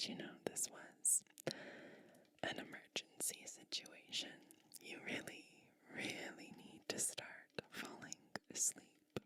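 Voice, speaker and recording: A woman whispering an ASMR roleplay as a caring witch, gently giving soothing, unhurried instructions. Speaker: ASMR performer.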